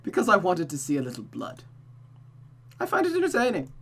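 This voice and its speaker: A woman's voice: on the low side, wildly swooping pitch, brisk, slightly rough, soft background music, exaggerated voice. A woman voicing a cruel, taunting mother who gives cold, domineering orders in a visual novel. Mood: cold mockery. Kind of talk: visual novel character reading.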